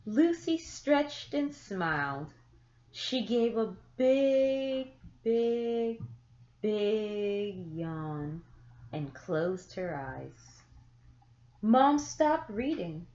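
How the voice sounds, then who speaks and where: A woman reading a children's picture book aloud, with deliberate, expressive phrasing and drawn-out words for young listeners. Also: mid-range voice. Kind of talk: children's story read-aloud. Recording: echoey room, slightly muffled sound.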